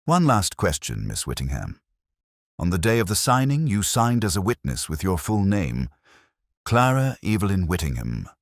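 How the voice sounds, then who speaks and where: Man voicing a deep, measured Victorian detective. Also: huge pitch swings, dry recording, clean studio sound, exaggerated voice. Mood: quietly inquisitive. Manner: measured, formal, probing. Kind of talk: audiobook character dialogue.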